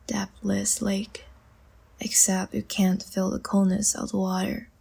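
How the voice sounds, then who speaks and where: Woman playing a languid, breathy, half-whispering vampire seductress. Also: medium pitch. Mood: wistful, hushed. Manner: slow, soft, teasing and soothing. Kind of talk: intimate ASMR audio roleplay.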